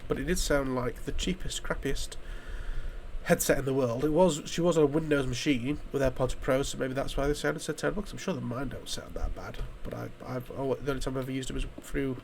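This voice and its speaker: A man from the UK, hoarse from a chest infection, chatting casually while playing a game, rambling and swearing with frequent restarts. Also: mid-range voice, steady tone, a little fast, slight background noise. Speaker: gaming YouTuber.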